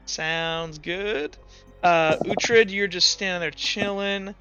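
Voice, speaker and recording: A man's voice: sits high, steady tone, takes it slowly, lo-fi sound, music in the background. A man casually narrating a tabletop roleplaying game as game master, thinking aloud and correcting himself as he goes.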